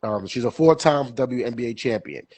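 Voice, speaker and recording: A man's voice: mid-range voice, expressive, normal speed, a little mellow, dry recording. A young man hosting a podcast, chatting casually about sports, stuffy with a cold and rambling with many fillers and repeats. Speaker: podcast co-host.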